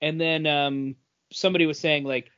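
A man's voice: on the high side, steady tone, moderate pace, fairly bright tone, smooth. Man rambling through geek news, lo-fi. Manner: rambling, many fillers and restarts. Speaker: podcast co-host. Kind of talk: casual chat.

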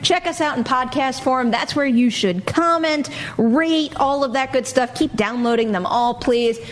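A woman's voice: sits high, a little slow, a little hiss or hum. A woman hosting a casual panel show, chatty and informal in her delivery. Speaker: show host.

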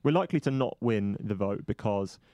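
A man hosts a football podcast with a quick, wry delivery. His voice sits a little low, and he pads his sentences with ums and uhs. The sound is dry and close. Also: animated, warm tone, no echo, muffled recording. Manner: wry, dry humour, quick, filler-heavy. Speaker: podcast host. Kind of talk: casual chat.

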